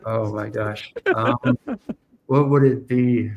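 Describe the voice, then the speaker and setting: A man's voice: medium pitch, sing-song, vocal fry, dull audio. A German-born man in his forties with a light German accent, chatting earnestly as a podcast guest. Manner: earnest, rambling, quick asides. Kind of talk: casual interview chat.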